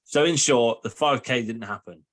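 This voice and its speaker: A man's voice: medium pitch, fairly bright tone. Man, teasing podcast co-host. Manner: teasing, quick to laugh. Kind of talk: casual chat.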